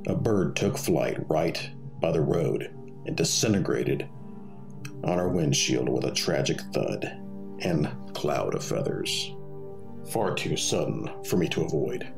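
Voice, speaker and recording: A man's voice: very deep voice, gravelly. A man telling a personal story in a conversational, wry and wordy way. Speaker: storyteller reading own experience.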